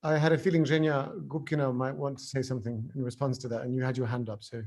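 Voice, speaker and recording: A man moderating an online Q&A, reading questions aloud in halting Russian and then English. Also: mid-range voice, flat delivery, darkish tone. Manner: apologetic, out of practice in Russian. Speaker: event moderator.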